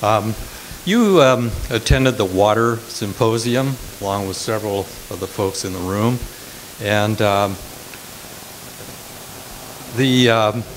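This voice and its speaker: A man on a forum panel asking a candidate a question, halting with many pauses, in a community hall. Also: lowish voice. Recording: faint hiss in a hall.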